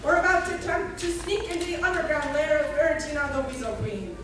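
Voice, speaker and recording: A woman's voice: high-pitched, animated, fast. A woman playing a serial radio announcer, reading scripted narration that recaps an adventure in a comic radio play. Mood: announcing. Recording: echoey, distant, noisy live stage recording.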